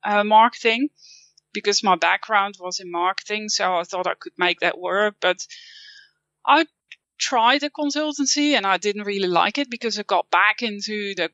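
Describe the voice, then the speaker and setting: Guest interview: woman, Dutch accent, bright and clear, dry close sound, slightly dull lo-fi audio. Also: mid-range voice, moderate pace, no echo. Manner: thoughtful, with frequent restarts and light laughs. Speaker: guest, copywriting teacher. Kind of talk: casual interview chat.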